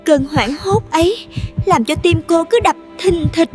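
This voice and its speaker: A woman's voice: very high voice, lively intonation, a little slow. A woman narrating a scripted fairy tale for children over music. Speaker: storyteller.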